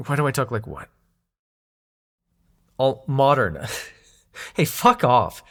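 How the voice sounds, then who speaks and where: A man's voice: medium pitch, very animated, slow pace, fairly bright tone, heavily acted. A man acting in an intimate audio roleplay as a young god of dreams, sarcastic and wounded but fond, talking in casual, sweary modern slang. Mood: teasing, fond.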